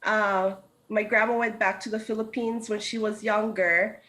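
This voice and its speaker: A woman's voice: medium pitch, little pitch movement, moderate pace, a bit bright. A woman in her thirties from Hawaii, a food truck co-owner, explaining her menu at length in a remote news interview over a video call. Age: 30s.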